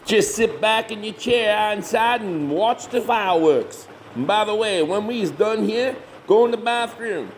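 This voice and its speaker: A crude, cocky backwoods monster hunter in an audio comedy. His Southern voice sits high and swings widely, full of folksy sarcasm. There is clear noise behind him. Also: animated, unhurried, few pauses. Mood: mocking. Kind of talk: comedy horror audio drama acting.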